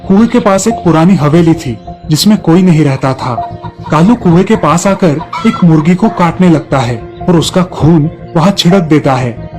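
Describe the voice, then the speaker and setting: Casting: male narrator for children's moral tales, fairly high voice, music bed. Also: animated, normal speed. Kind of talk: scripted children's story narration.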